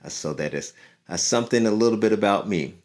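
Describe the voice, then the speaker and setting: A man reading a picture book aloud to children in an encouraging, unhurried way. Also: lowish voice, expressive, a little mellow. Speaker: community leader and musician reading to children.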